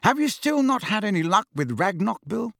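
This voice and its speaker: A man voicing a wary, thoughtful adult wizard with a measured manner in an audiobook. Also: high-pitched, very animated, creaky, fairly bright tone, dry recording, studio quality, voice pushed up, exaggerated voice. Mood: grave.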